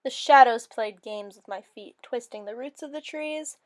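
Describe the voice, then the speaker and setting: A woman with a fairly high voice reads a storybook tale aloud. Her delivery is theatrical and expressive, in the manner of a dramatic storyteller narrating in the first person. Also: moderate pace. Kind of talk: dramatic reading of a fairy story.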